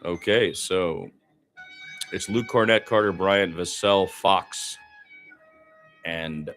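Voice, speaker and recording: A man commentates live basketball for radio. His voice sits fairly low and moves in short, clipped phrases, climbing when the action heats up. Faint music plays behind him. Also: takes it slowly, a bit bright.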